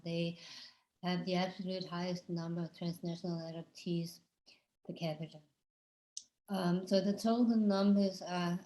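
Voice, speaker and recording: Female panel moderator, halting delivery full of fillers, warm tone, roomy sound. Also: fairly low pitch. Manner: frequent ums and uhs. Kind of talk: hesitant panel introduction. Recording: echoey room.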